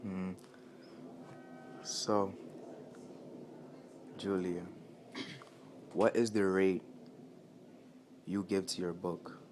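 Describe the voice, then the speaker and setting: A man acting as the interviewer in a casual mock interview, asking halting questions with pauses and restarts. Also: deep voice, little pitch movement, a little mellow, slight background noise, poor audio. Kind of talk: casual school role-play interview.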